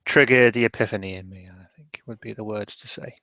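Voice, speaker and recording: A man's voice: lowish voice, steady tone, brisk, sharp tone, no echo. A man playing a guarded, reluctant character haunted by his past in a roleplaying game, hesitant and evasive. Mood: evasive, pained.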